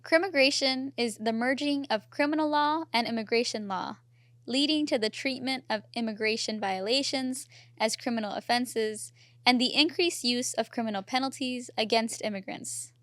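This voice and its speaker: A woman's voice: on the high side, normal speed, a bit bright, no room sound. A woman hosting a podcast, first reading a prepared script and then chatting casually with her guest using fillers. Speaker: podcast host. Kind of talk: scripted intro then casual interview chat.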